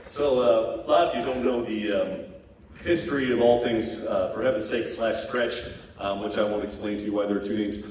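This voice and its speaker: A man's voice: on the low side, normal speed. Chatty male group member at a live show, laid-back banter, distant mic in a reverberant hall. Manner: chatty, chuckling, loose with fillers. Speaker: group member introducing songs on stage. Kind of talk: casual onstage banter. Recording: echoey hall from far off, noisy.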